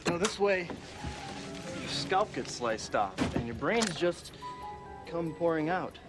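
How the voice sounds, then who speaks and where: A man's voice: fairly high pitch, expressive, slow pace. A man playing a menacing stage magician villain in a TV horror drama. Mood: coldly menacing. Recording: loud music over played-back TV dialogue.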